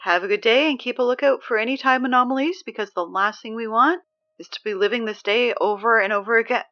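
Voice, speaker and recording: A woman's voice: a little high, fast talker, highs cut off, lightly acted. A woman playing an exasperated teenage girl who reads the school morning announcements, sarcastic and easily flustered. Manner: sarcastic, flustered, prone to outbursts. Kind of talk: comedic audio drama acting.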